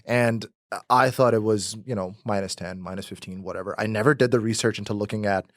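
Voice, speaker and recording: A man's voice: fairly low pitch, fairly even intonation, fairly quick, a bit bright, no echo, studio quality. A young man from Pakistan whose first language is Urdu, talking casually as a podcast guest with many restarts and fillers. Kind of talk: casual conversational interview.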